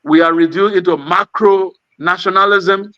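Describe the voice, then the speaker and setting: Bright, high-pitched West African man orating. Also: fairly even intonation, moderate pace, dry recording, dull audio. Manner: emphatic, repeats phrases for effect. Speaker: speaker giving an address. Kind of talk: impassioned political speech.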